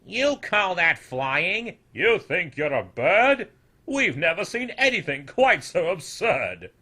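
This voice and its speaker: Man playing old fantasy creature, mocking, sneering, loud and forceful, raised pitch, belittling, emphatic, storybook villainy. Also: big pitch swings, slow, exaggerated voice. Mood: mocking, scornful. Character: scornful old male snoodle, mocking and belittling.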